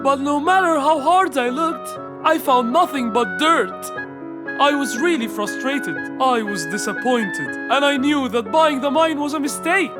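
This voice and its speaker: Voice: high voice, animated, measured pace, music in the background. A performer voicing an impatient, fussy male businessman horse in a children's cartoon, with an insistent manner. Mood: frustrated. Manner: insistent, pressing. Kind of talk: children's cartoon character acting.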